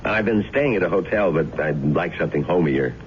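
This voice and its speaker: A charming young man with a fairly low, animated voice, polite, folksy and ingratiating. It is radio drama acting, captured on a muffled old broadcast recording. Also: fairly quick, a little hiss or hum. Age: young adult. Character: personable, charming young boarder, polite and eager.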